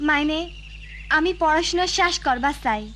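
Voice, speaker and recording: A woman's voice: sits high, moderate pace, pushed and bright, a little hiss or hum. A woman playing a studious, hesitant teenage village girl in a cartoon, with a rural Bangladeshi accent. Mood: hesitant, pleading. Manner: hesitant, pleading. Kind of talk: cartoon voice acting.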